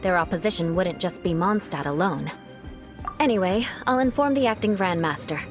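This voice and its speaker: A woman's voice: on the low side, animated, normal speed, music in the background, close to an everyday voice. A woman playing a proud, defiant young noblewoman knight in a video game, speaking formally with cool, aloof assertiveness. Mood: composed, brooding.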